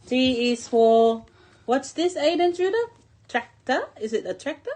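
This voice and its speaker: A woman's voice: sits high, lively intonation, unhurried, darkish tone. A middle-aged woman reading a picture book aloud to a young child in simple short phrases, drawing out key words and pointing things out with questions.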